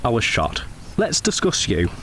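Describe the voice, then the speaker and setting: A gentlemanly Edwardian veteran in a radio play, kindly and quietly wounded. His medium-pitched voice is polite and understated, with a gently teasing edge. A light bed of ambient noise sits behind him. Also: huge pitch swings, normal speed. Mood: guarded, wry. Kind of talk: scripted radio drama dialogue.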